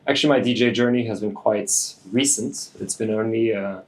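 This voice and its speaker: A man in his late twenties, French-born and raised in Hong Kong, talking in a relaxed, thoughtful way as a DJ guest, with many fillers. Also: on the low side, a little fast, rough recording. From France, raised in Hong Kong. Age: 20s. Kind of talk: casual interview.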